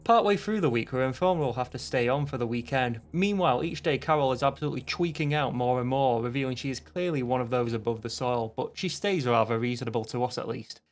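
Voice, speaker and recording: A man's voice: mid-range voice, quick pace, hardly any pauses. A man with a Northern English accent reads a scripted video essay in a dry, wry way, with casual, sweary asides. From Northern England. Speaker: video essayist reviewing a game.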